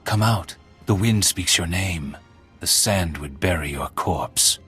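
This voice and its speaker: An actor playing a menacing assassin in a video game, speaking in an ominous, formal way. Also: male voice, sits low, fairly bright tone, faint music behind. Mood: cold menace. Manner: slow, ominous, formal phrasing. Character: menacing male assassin of a terrorist cell.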